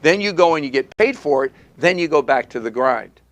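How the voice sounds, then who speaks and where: A man's voice: on the high side, expressive, fairly quick. Man coaching an acting class, emphatic. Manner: emphatic, repetitive, punchy call-and-response teaching. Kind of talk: teaching a live acting class.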